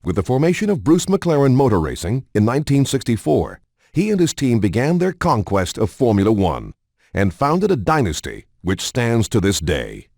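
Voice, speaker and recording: A man narrates a documentary in a polished, measured announcer style. His mid-pitched voice moves with lively intonation, and it is recorded dry, like a studio voice-over. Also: no room sound.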